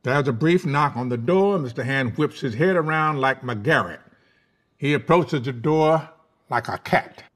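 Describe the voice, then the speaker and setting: A man's voice: mid-range voice, animated, measured pace, fairly bright tone. A man reading out stage directions as narrator of a live script table read.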